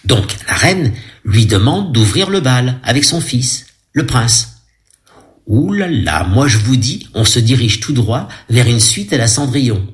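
A man's voice: mid-range voice, animated, unhurried. A man giving an audio description of a film, chatty and ironic, with asides to his listeners.

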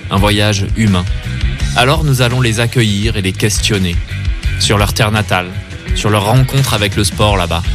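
A man giving a scripted podcast introduction in a measured reading voice. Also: lowish voice. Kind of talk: scripted narration. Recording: voice over guitar music.

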